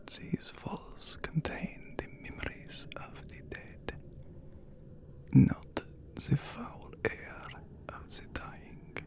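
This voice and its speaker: Man acting as a slow, whispering vampire count. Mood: courteous, solemn. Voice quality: breathy, whispery, gravelly. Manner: slow, formal, hushed, with long dramatic pauses. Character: ancient, courteous, brooding male vampire count, handsome castle lord. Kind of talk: ASMR romantic roleplay, scripted character acting.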